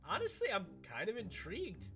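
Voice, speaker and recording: A man's voice: high-pitched, wildly swooping pitch, fairly quick, heavily acted. A man playing an easygoing, curious animatronic pirate fox, rambling casually and wryly in a Minecraft roleplay. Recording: music behind the voice.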